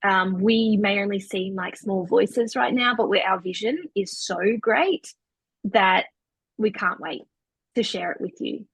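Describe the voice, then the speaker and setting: A woman in her thirties co-hosts a podcast and speaks in an earnest, uplifting way. Her voice is a little husky at a middle pitch. The recording is dry and close to the mic. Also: unhurried, fairly bright tone, dry recording. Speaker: podcast co-host. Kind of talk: casual chat.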